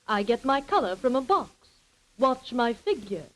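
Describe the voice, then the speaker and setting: A woman's voice: a little high, very animated, moderate pace, a bit bright, low-quality recording. An actress playing a vain, haughty wicked queen, reciting theatrically in rhyme in an old radio skit. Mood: indignant. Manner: theatrical rhyming delivery, imperious. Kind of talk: 1930s radio acting in an ad skit.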